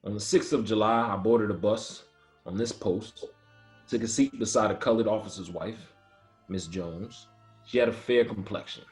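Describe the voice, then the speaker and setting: Guy reading young army soldier, lowish voice, earnest, steady, music under it. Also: normal speed, natural voice. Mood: reflective, earnest. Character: earnest, principled young male Army soldier, quietly firm. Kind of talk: screenplay table read, first-person narration and dialogue.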